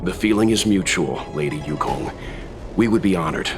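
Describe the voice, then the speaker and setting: A man voicing a courteous, thoughtful traveler in a video game. His voice is deep and his talk is polite and reflective, with gentle dry humor. Music runs clearly underneath. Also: moderate pace, lightly acted. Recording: music behind the voice.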